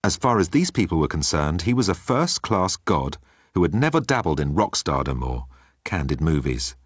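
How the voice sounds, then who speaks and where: Deep-voiced man narrating a story. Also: very animated, normal speed, breathy edge, no room sound, studio quality. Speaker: audiobook narrator. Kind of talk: scripted comic story narration.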